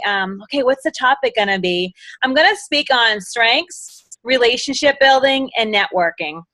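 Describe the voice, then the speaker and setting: A woman's voice: on the high side, no room sound. Enthusiastic Boston-accented woman, rambling. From Massachusetts. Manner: enthusiastic, rambling, quotes others in lively voices. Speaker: guest speaker. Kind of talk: motivational sales talk.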